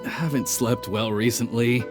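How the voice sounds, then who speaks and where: A man's voice: medium pitch, fairly even intonation, a little slow, over music, close to an everyday voice. A man playing an anxious, dutiful young retainer in a video game, polite, hesitant and fretful. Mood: downcast, apologetic. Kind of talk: video game character dialogue.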